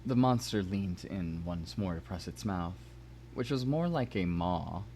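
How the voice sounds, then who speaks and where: A man narrating prose fiction as a dramatic reading, measured and expressive. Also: low voice, a little hiss or hum. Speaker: narrator and host of a story stream.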